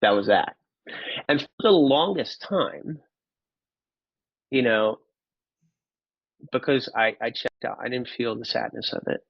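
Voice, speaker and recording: A man, telling his personal story in an interview, candid and halting, often repeating words. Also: medium pitch, vocal fry, slightly rough, no echo, dull audio. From Finland. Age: 30s. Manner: candid, casually profane. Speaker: podcast guest.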